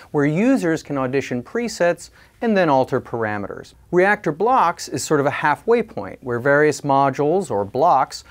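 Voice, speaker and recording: A man narrating a scripted product review in a clear, explanatory way with measured phrasing. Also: on the high side. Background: music and instrument demos.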